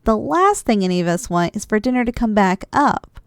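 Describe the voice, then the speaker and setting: A woman playing an affectionate, drowsy, playful wife in an intimate ASMR roleplay, speaking softly and teasingly. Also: mid-range voice, sing-song, brisk, clean tone, no room sound, studio quality. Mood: tender, teasing. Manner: soft, affectionate, teasing, with sighs and giggles. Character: affectionate, drowsy, playful wife cuddling after a big dinner. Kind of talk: ASMR roleplay, intimate one-sided dialogue.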